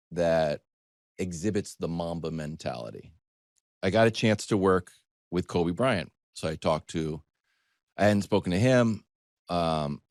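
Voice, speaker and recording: An American man giving conversational sports talk as a commentator, animated, digressive and self-deprecating as he tells stories. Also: deep voice, no room sound, clean studio sound.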